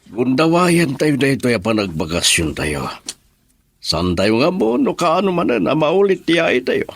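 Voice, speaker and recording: A man's voice: medium pitch, sing-song, a little slow, vocal fry, exaggerated voice. A man playing a businessman father in an Ilocano radio drama. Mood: relaxed, indulgent. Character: father, a businessman on family vacation.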